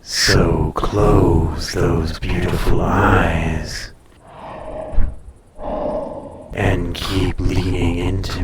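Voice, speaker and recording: A man playing an ancient dragon familiar in an intimate roleplay audio, tender, possessive and teasing, soothing and often dropping to a breathy murmur. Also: deep voice, echoey room, far from the mic, heavy background noise. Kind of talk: intimate roleplay audio drama, personal attention.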